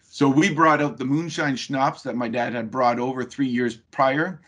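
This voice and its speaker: A man's voice: mid-range voice, steady tone, normal speed, a bit bright, breathy edge. A man giving a webinar talk over a video call, telling a personal story in a conversational way with frequent fillers. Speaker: webinar presenter.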